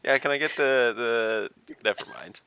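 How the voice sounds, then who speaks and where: A man's voice: mid-range voice, normal speed, bright, forceful tone, clean tone, dry recording, highs cut off. A man playing an online tabletop game, trading loose, bawdy, joking banter with friends. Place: online group voice call.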